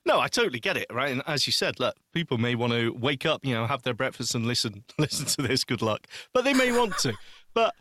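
A man's voice: medium pitch, big pitch swings, brisk, bright, forceful tone, no echo, clean studio sound. A man co-hosting a podcast in casual chat, joking and teasing drily. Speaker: podcast co-host.

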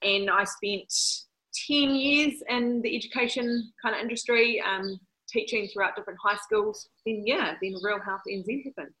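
A woman's voice: mid-range voice, normal speed, pushed and bright, rough recording. A woman, a podcast guest describing her background in an upbeat, self-teasing way. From New Zealand. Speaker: guest, former PE teacher. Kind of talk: conversational interview answers.

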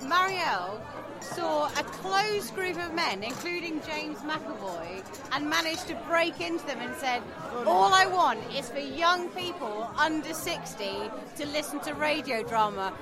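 A woman's voice: high voice, expressive, unhurried. A woman chatting casually in a bar. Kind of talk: casual chat. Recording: loud bar music and crowd. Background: loud music and chatter.

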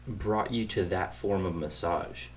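A man's voice: deep voice, a little slow. A man hosting a casual interview, easygoing and hesitant, with many fillers. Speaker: podcast host.

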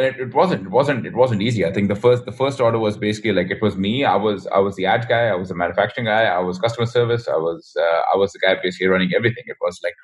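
Fast-talking man with a husky voice. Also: sits low, highs cut off. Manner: fast, rambling, frequent restarts. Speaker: guest, ecommerce founder. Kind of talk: casual interview talk.

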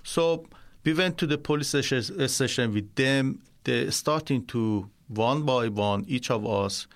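A man from Iran with a Persian accent, a guest in a radio studio interview, speaking in halting, simple phrases. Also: mid-range voice.

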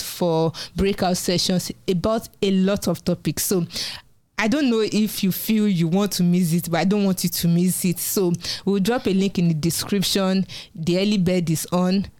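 A woman's voice: on the low side, darkish tone, clear, resonant tone, no room sound. Nigerian woman co-hosting a podcast. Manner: chatty, laughs often while promoting an event. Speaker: podcast co-host. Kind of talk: casual podcast chat.

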